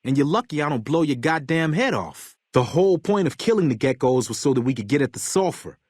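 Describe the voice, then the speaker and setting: A husky, dry-sounding American gang boss from a video game. He is a bitter convict bomber with a short temper. He talks gruffly, swears freely and gives orders like someone used to command. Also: a little high, lively intonation, a little fast, a little mellow, no room sound. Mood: angry. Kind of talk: video game character dialogue.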